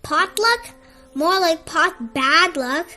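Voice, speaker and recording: Storyteller acting a whiny grumpy little boy toad, reluctant, dramatic, high bright voice, storybook read-aloud. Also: expressive, slow pace, faint music behind, exaggerated voice. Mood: scornful.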